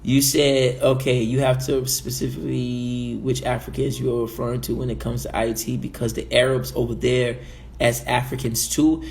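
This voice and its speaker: A man's voice: medium pitch, level tone, moderate pace, gravelly, darkish tone, slight background noise. A man from the Caribbean giving emphatic opinion commentary as a vlogger, preachy and repeating his points.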